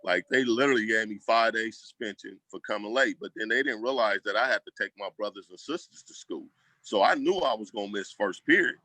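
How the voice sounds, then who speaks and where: Male interview guest, low-pitched with a forceful edge, casual and slangy, very dry close sound. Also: fairly quick, dry recording, low-quality recording. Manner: colloquial, slangy, acts out quoted remarks. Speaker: school program leader, guest. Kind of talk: casual conversational storytelling.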